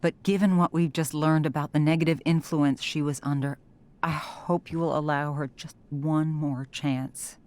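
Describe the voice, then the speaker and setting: A woman's voice: low-pitched, steady tone, moderate pace, a little mellow, lightly acted. A woman playing a worried but firm middle-aged mother in a video game drama, speaking politely. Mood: relieved, pleading.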